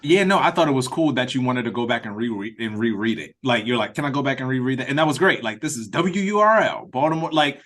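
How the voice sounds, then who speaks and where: A man's voice: mid-range voice, lively intonation, fast, few pauses, fairly bright tone. A man speaking as a playwright leading a read-through on a video call, giving casual, encouraging and meandering feedback. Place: remote meeting over a video call.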